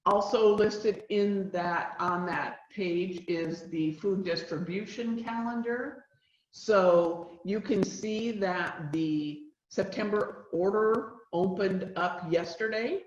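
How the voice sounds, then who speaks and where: A woman trainer presenting a school nutrition webinar, with a loud voice that carries and many fillers. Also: medium pitch, slow pace. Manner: chatty, uses many fillers. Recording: roomy, dull lo-fi sound.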